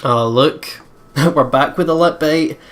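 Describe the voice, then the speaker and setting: Guy reading a visual novel out loud for viewers, chatty, casual playful comments. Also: fairly high pitch, takes it slowly, music in the background. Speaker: let's play host.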